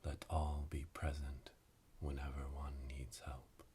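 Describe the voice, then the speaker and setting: A man playing a solemn witch leading an initiation in a hushed, breathy ASMR whisper, ceremonial and monotone, close to the mic. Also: very deep voice, a little slow, background noise. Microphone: close binaural microphone.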